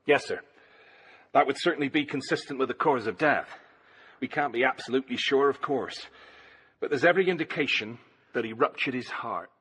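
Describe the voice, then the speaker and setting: A man's voice: medium pitch, a little fast, bright, forceful tone, breathy edge. An actor playing a polite village policeman in a radio drama, with a British accent and a deferential, matter-of-fact manner. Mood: grave, courteous.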